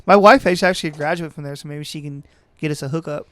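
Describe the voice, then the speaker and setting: A man talking quickly and eagerly on a podcast. His voice is smooth and clear, a touch higher than most men. It sounds dry and close. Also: a bit bright, dry recording. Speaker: podcast co-host. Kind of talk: casual chat about baseball.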